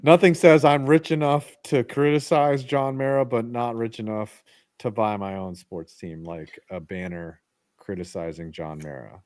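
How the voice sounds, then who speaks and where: A man's voice: medium pitch, fairly even intonation, moderate pace, a little mellow, dry recording, studio quality. A man co-hosting a casual sports chat, blunt and jokey, swearing often. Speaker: podcast co-host.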